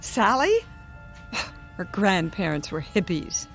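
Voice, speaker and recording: A woman's voice: on the low side, expressive, slow, gravelly, faint music behind, exaggerated voice. An actress playing a vain, demanding, wealthy older woman in a radio drama, haughty and snappish. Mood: haughty, irritated.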